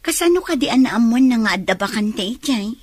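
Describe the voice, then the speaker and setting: Eager young Ilocano nurse. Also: female voice, a little high, expressive, fairly quick, no room sound. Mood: disappointed, chatty. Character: eager job-seeking young nurse, chummy friend. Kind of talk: Ilocano radio drama acting.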